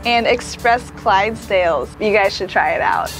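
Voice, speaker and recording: A woman's voice: mid-range voice, normal speed, music in the background, poor audio. A woman hosting a travel vlog, reacting enthusiastically. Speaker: travel show host. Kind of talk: casual vlog.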